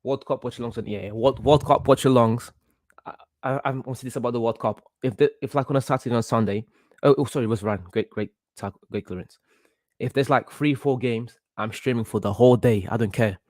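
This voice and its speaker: A young man from Portugal, about eighteen, hosting a casual football watchalong stream in an excitable, reactive way and often repeating himself. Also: mid-range voice, brisk, dry recording. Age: young adult, about 18. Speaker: livestream host.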